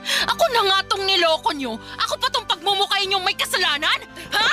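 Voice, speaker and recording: A woman acts out a betrayed wife in a Filipino radio drama. Her voice is high and sharply bright, with a bitter, accusing edge. Faint music sits behind her dramatic delivery. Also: expressive, fairly quick, heavily acted. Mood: indignant. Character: betrayed wife confronting her husband's mistress, bitter and fierce.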